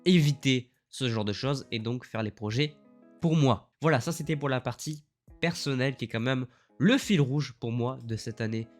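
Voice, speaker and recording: A man speaks with a congested, husky voice from a cold, at an ordinary middle pitch. He rambles enthusiastically with plenty of fillers while a faint guitar tune plays behind him. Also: normal speed. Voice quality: slightly lower, husky voice from the cold. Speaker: YouTuber. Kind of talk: year-in-review vlog talk.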